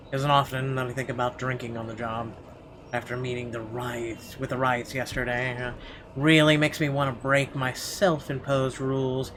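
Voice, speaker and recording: A man's voice: mid-range voice, little pitch movement, normal speed, fairly bright tone, a little hiss or hum. A man hosting a playthrough, reading narration aloud and dropping in wry, sweary asides. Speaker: let's play host. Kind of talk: reading game narration aloud with off-the-cuff comments. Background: game music.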